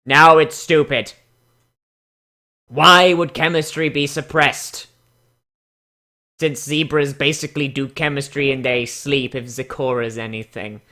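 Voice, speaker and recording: A man's voice: medium pitch, fairly even intonation, unhurried, pauses often, sharp tone. A man hosting a comic reading, breaking in with mocking, exclamatory, profanity-laden commentary. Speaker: host.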